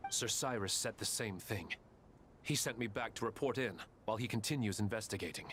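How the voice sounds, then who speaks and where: An actor playing a dutiful, respectful officer in a video game, reporting in a formal, matter-of-fact way. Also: male voice, fairly low pitch, brisk, a bit bright, a little hiss or hum, natural voice. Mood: sober.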